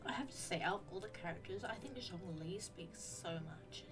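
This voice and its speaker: A woman's voice: mid-range voice, normal speed, over music. A woman giving casual, offhand gameplay commentary in an informal way. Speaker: gaming content creator.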